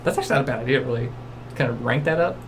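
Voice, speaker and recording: A man's voice: mid-range voice, racing, a little hiss or hum. A young man co-hosting a podcast, bantering in a playful, teasing way. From United States. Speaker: podcast co-host. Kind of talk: casual chat.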